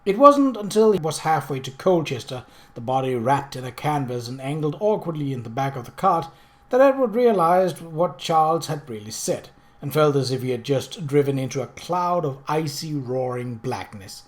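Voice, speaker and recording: A man reading a novel aloud as scripted narration, in a dramatic and expressive way. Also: on the high side, normal speed. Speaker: audiobook reader.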